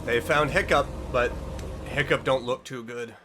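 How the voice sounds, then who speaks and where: A man's voice: medium pitch, steady tone, measured pace, background noise. A man hosting a reaction video, reading text aloud and commenting casually, sometimes stumbling over words and correcting himself. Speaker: online reaction video host.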